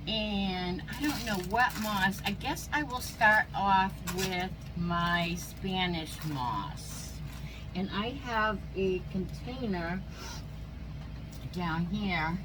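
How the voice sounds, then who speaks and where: A woman with a stuffy nose and a slightly squeaky voice, chatting casually as she narrates each step of a craft project. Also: very slow, noisy background. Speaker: craft channel host. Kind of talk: casual how-to crafting chat.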